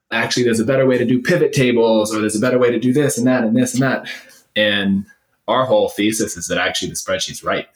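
A man speaking as an interview guest and startup executive, conversational yet emphatic and opinionated, building points through repeated phrases. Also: lowish voice. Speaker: startup CEO and former finance leader, interview guest. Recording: roomy, echoey sound.

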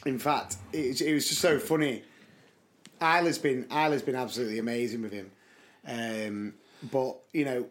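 A young man with a slightly hoarse voice, co-hosting a podcast and telling stories in casual chat, laughing often. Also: medium pitch. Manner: chatty storyteller, laughs often. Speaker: podcast co-host.

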